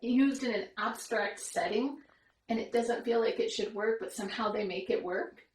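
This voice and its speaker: A woman's voice: on the high side, normal speed, echoey room, slight background noise, rough recording. A woman hosting a podcast, talking in a rambling, reflective way with many fillers and restarts. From Romania. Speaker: podcast host. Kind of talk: casual conversation.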